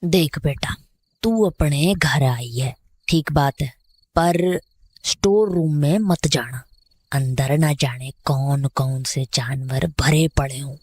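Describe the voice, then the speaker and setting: A woman's voice: low-pitched, slow pace, darkish tone, breathy edge, dry recording, full character voice. A woman playing a wary older village caretaker in an audio drama, speaking rural North Indian Hindi dialect in a folksy, cautioning way.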